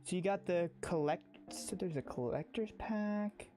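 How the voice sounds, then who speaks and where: Casting: informal livestream gamer, mid-pitched voice, excitable and rambling, music playing underneath. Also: measured pace. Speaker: game streamer. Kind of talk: casual livestream chat.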